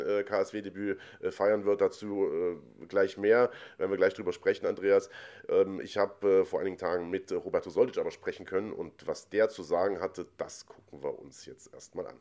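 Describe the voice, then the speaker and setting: A man's voice: on the low side, a little mellow. A man hosting a combat sports podcast in casual chat, talking loosely with frequent fillers and self-corrections. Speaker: podcast host.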